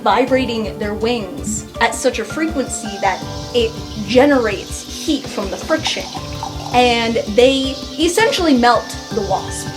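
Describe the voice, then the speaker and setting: Female tabletop player, slightly high voice, slow delivery, casual and sweary, music underneath. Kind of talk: improvised casual game narration. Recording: music bed behind the voice.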